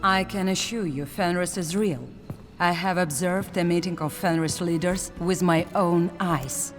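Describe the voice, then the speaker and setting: A composed female intelligence agent, voiced by an actress in a game drama. Her voice is slightly low and assured, in long reverb with music behind. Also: fairly even intonation, unhurried, natural voice. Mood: grave, assured. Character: composed, earnest female spy. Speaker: voice actor. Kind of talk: dramatic game cutscene acting.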